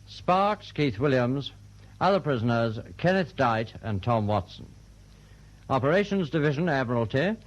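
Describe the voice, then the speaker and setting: A British man working as a radio continuity announcer, reading scripted credits formally. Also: medium pitch. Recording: dull old radio recording.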